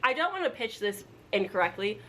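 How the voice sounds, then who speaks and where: A woman's voice: mid-range voice, big pitch swings, a little fast, fairly bright tone. A woman chatting casually to camera as a book vlogger, informal and rambling, with frequent asides. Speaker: book vlogger announcing a readalong. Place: at home indoors. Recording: background room noise, household appliance.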